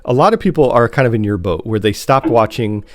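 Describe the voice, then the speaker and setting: A man with an American accent talks as a podcast co-host in an easy, informal chat. His pitch is average for a man, and he tends to hesitate and restart his thoughts as he goes. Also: lively intonation, brisk. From Chicago, United States. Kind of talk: casual chat about TV news.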